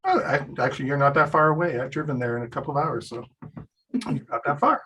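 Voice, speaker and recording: A man's voice: mid-range voice, quick pace, fairly bright tone, slight background noise. A man hosting a podcast, giving friendly, upbeat introductions to his guests. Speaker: podcast host.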